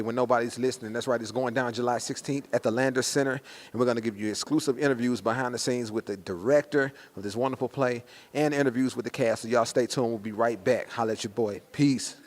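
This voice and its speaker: A man's voice: mid-range voice, fast. A man hosting a casual promotional interview, talking in an informal, slangy, hyped-up way. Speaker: host and interviewer.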